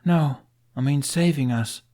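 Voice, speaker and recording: Casting: man as young female warrior, guarded, blunt, questioning, raised pitch, audiobook dialogue. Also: measured pace, dark tone, natural voice. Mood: challenging.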